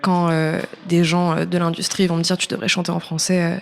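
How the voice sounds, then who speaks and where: A woman's voice: fairly low pitch, flat delivery, a little fast, creaky. A woman in her early twenties, a native English speaker, chatting casually in a radio interview, hesitant and informal, often trailing off. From born in New York, French-American. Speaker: musician, singer guest.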